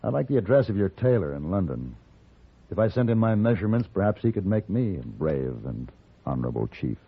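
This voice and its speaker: An actor playing a tough, shrewd American gunfighter in a radio western, measured and authoritative, with a dry manner. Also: male voice, low voice, big pitch swings, vocal fry, slightly rough, exaggerated voice. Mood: wry. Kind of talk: old-time radio western acting. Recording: muffled, noisy old radio recording.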